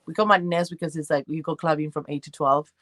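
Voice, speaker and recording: A woman's voice: deep voice, steady tone, fast, a bit bright, no room sound, studio quality. Chatty woman with an Argentine accent. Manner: chatty, rambling, reads and answers chat comments. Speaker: livestream host. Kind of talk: casual livestream chat with viewers.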